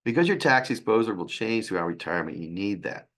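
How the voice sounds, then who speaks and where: A man with a raspy, hoarse voice from a sinus infection, presenting a financial webinar in a halting way. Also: lowish voice, very animated, fairly bright tone. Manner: halting, with restarts and fillers.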